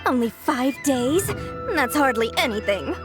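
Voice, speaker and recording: Game role: coy, theatrical young female swindler, high and very animated, teasing and pouty. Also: measured pace, over music. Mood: teasing, pouting. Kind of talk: video game voice acting.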